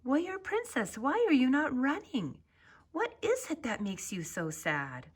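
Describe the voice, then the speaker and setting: A woman voicing a kind, encouraging ostrich in a children's storybook, speaking in a gentle, earnest and reassuring way. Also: high-pitched, huge pitch swings, unhurried, breathy edge, voice pushed up, full character voice. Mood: concerned.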